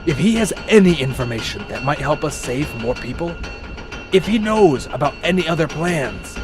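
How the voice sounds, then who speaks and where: Ninja investigator, male actor: pragmatic, determined, slightly high voice, audio drama over clear music. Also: very animated, moderate pace. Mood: stern. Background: prominent music.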